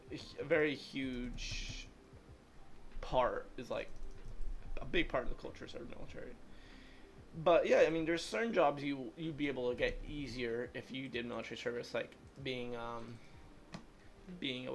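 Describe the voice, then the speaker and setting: A man's voice: medium pitch, moderate pace, gravelly, pauses often, a little hiss or hum. An eighteen-year-old man hosting a livestream, chatting casually and rambling, with plenty of "like" fillers. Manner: rambling, heavy use of "like" fillers. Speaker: livestream host. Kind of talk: casual chat while gaming.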